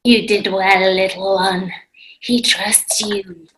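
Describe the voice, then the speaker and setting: A woman reading the part of a scheming demonic female water spirit at a table read, in a hushed, menacing whisper. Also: mid-range voice, little pitch movement, slow, a little hiss or hum, rough recording.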